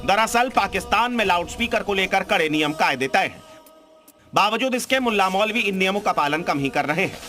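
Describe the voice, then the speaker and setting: A man's voice: high-pitched, expressive, a little fast, pushed and bright, soft background music. A male news anchor reading a pointed, rhetorical scripted voice-over.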